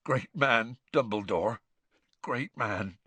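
A man voicing a huge, soft-hearted male gamekeeper with a hoarse, croaky voice and rough speech. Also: medium pitch, takes it slowly, dry recording, heavily acted. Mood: tearful, earnest. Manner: rough working-class speech.

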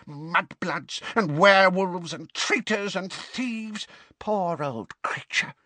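A man doing a hoarse old muttering house elf. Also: high voice, lively intonation, slow pace, pushed and bright, no room sound, pitched above the performer's own voice, exaggerated voice. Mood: resentful muttering. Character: ancient hunched male house elf, bitter and resentful.

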